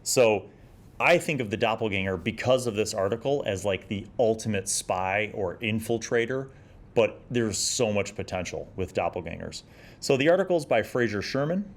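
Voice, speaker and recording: A man's voice: fairly low pitch, lively intonation, normal speed. A man casually talking through an old gaming magazine as host, with an enthusiastic, rambling manner. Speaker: host of a gaming retrospective show. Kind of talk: casual magazine review with read-aloud passages.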